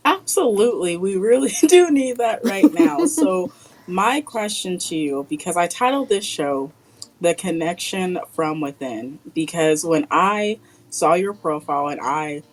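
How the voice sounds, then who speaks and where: A woman hosting a podcast, introducing her guest and asking questions in a slow, deliberate, emphatic way. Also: lowish voice, lively intonation, slight background noise, poor audio. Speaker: podcast host.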